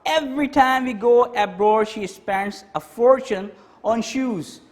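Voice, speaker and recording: A man's voice: very high-pitched, slow, voice pushed up. A man reading the part of a polite male tourist from Scotland in a textbook dialogue.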